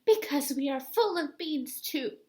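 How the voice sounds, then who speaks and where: A woman's voice: sits high, lively intonation, a little slow, mellow. A woman voicing a small male chihuahua in a picture book, earnest and dramatic, with emphatic delivery. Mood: ominous.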